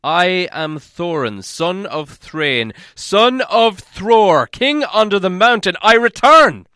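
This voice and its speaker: Male narrator as dwarf king, commanding, stately, loud declamation, raised pitch, sweeping intonation, storybook fantasy. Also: expressive, slow, clean tone, dry recording, clean studio sound, full character voice. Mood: loud, triumphant. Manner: solemn, grandly declaiming, loud. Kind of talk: audiobook character acting.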